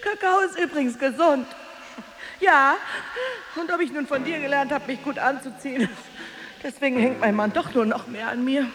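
A woman's voice: sits high, moderate pace, lightly acted. An actress in a radio drama playing a jealous, bitter married woman, a taunting and volatile rival delivering a theatrical monologue. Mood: pitying, mocking. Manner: emotionally volatile, theatrical, swinging between hushed and outburst.

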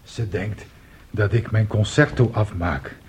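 Tired doubtful dad in a radio drama, really raspy, takes his time, middle-aged. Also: fairly low pitch, unhurried. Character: weary, doubtful middle-aged father, a composer. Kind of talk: Dutch radio play acting.

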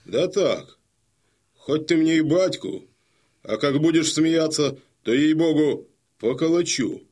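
An actor playing a proud, hot-tempered young Cossack son in a radio play, blunt and defiant. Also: male voice, on the high side, expressive, slow, a little mellow, breathy edge, exaggerated voice.

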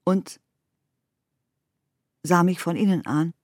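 A woman reciting literary poetry for a radio play in measured, deliberate phrases. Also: lowish voice, a little mellow, no echo, clean studio sound.

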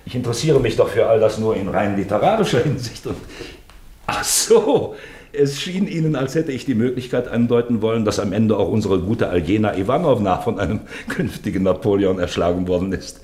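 An actor in a radio play as a sly, probing police investigator who wheedles with feigned courtesy. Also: male voice, on the low side, expressive, a little fast, a little mellow, close to an everyday voice. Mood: mock apologetic. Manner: wheedling, insinuating, falsely apologetic. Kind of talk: German radio play acting.

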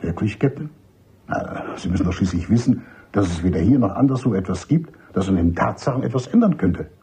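A man playing an older army major and doctor in a radio drama, husky, emphatic and cynical, courteous but dismissive. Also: lowish voice, expressive, fairly quick, warm tone, a little hiss or hum. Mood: reserved, evasive. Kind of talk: German radio drama acting.

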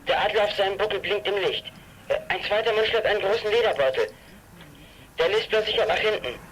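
A man's voice: high voice, fairly bright tone, a little hiss or hum, poor audio. A man playing a boy who leads young detectives in a German children's audio drama, giving clipped, businesslike orders. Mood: tense, alert. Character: boy leader of young radio detectives, brisk, commanding.

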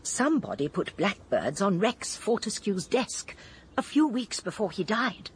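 An actress playing a gentle, inquisitive elderly English lady sleuth in a radio drama, genteel and persistent. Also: mid-range voice, big pitch swings, a little slow, breathy edge, exaggerated voice. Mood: gently probing. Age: older. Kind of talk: British radio drama acting.

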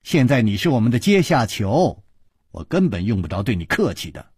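A man voicing a haughty, sharp-tongued young princess in an audiobook, sneering and scolding. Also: fairly low pitch, very animated, brisk, breathy edge, no echo, clean studio sound, voice pushed up, exaggerated voice. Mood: scornful.

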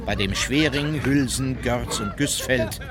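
A man's voice: medium pitch, measured pace, over a noisy crowd, natural voice. A man playing a sober chronicler, giving matter-of-fact scripted narration in a radio play. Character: sober male documentary-style chronicler. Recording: loud music behind.

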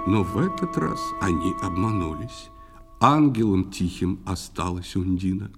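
A man narrating a poetic audio drama in verse with expressive, literary delivery. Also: sits low, a little slow, over music.

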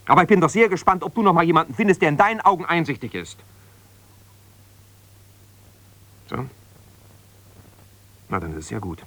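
An actor playing an embittered, self-righteous husband, a lawyer, reproachful and exasperated on one side of a phone call in a naturalistic radio play. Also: male voice, fairly high pitch, sing-song, quick pace, bright, forceful tone, full character voice. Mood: offended, sarcastic. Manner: defensive, reproachful, exasperated, insistent.

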